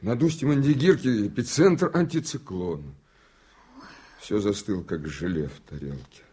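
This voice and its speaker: A man's voice: fairly low pitch, normal speed, mellow, husky. An actor playing a resigned, matter-of-fact man at a weather station in a Soviet radio drama. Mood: resigned, reassuring.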